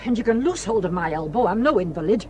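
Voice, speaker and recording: A woman's voice: mid-range voice, lively intonation, quick pace, background noise, close to an everyday voice. A grasping old Northern English woman of property, played by an actress in a radio drama. She scolds and sneers with haughty bluntness, as if everyone were out to cheat her. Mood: outraged, blustering. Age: older. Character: grasping, spiteful old female mill owner and landlady.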